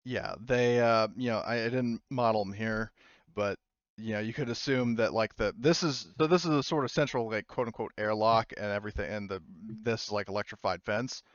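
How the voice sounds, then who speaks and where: A man casually narrating a tabletop roleplaying game as game master, improvising and thinking aloud in a halting way. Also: lowish voice, no echo, muffled recording.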